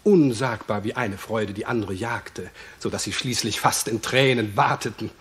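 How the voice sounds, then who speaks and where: An actor playing a gossipy court steward, eloquent and drily amused, in a 1960s German radio play. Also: male voice, on the low side, normal speed, a bit bright, natural voice. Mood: wryly amused. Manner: eloquent, wry storytelling.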